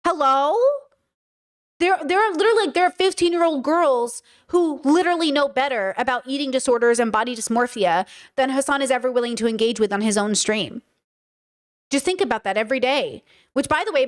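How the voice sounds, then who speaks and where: A woman with a Californian accent hosting a commentary video, talking emphatically to her viewers and often repeating words as she thinks. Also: high voice. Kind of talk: opinionated commentary monologue.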